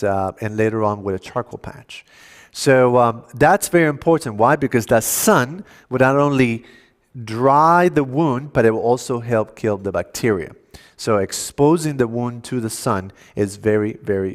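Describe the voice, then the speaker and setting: Man giving a health lecture. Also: medium pitch. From Cuba. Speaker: health seminar speaker at a church. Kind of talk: educational health lecture to an audience.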